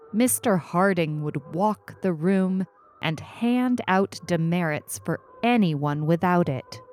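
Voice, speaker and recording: Female story narrator, lowish voice, expressive and very unhurried scripted fiction read. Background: faint music.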